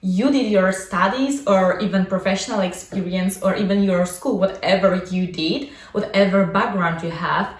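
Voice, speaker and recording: A woman's voice: medium pitch, moderate pace, hardly any pauses. A young adult woman whose first language is Polish, talking casually about her own life as a vlogger and coach, with many fillers like "like" and "you know". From Poland. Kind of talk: casual personal vlog. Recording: echoey room, mic at a distance.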